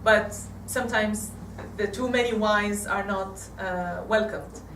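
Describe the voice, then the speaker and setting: An Egyptian woman in her forties gives a church talk with slides, halting and restarting often. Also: medium pitch, fairly even intonation, fairly bright tone, a little hiss or hum. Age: 40s. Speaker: guest speaker. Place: church hall. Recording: echoey hall from far off.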